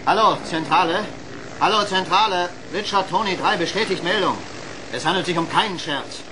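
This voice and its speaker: A man's voice: high-pitched, normal speed, noisy background, lightly acted. A man playing a serious radar tower officer in a radio play, reporting formally and precisely. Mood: serious, urgent. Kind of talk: radio-communication acting in children's radio play.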